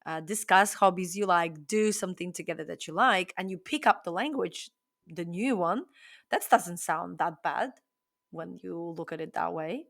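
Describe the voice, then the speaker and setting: A woman co-hosting a casual podcast conversation, thinking aloud in a reflective way with frequent pauses. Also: lowish voice, creaky, a bit bright. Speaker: podcast co-host.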